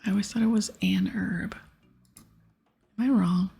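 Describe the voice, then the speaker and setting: Asmr gamer lady whispering super breathy w some creaky fry, soft n hushed, casual rambly gameplay talk. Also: medium pitch, steady tone, normal speed. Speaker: ASMR gaming video creator. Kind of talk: ASMR whispered gameplay commentary with quest dialogue read aloud.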